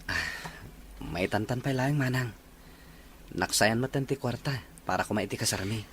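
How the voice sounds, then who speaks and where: A man's voice: lowish voice, expressive, a little slow, fairly bright tone, a little hiss or hum. A man playing a humble, apologetic young man in an audio drama. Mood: gentle. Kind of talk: Ilocano audio drama acting.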